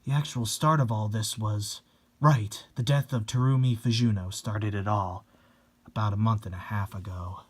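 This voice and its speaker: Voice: mid-range voice, fairly even intonation, normal speed, breathy edge, voice pushed down, natural voice. A performer voicing a frightened teenage schoolgirl who narrates a horror manga, shaken and protective of friends. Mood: haunted, tense. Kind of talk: dramatic reading of a horror manga.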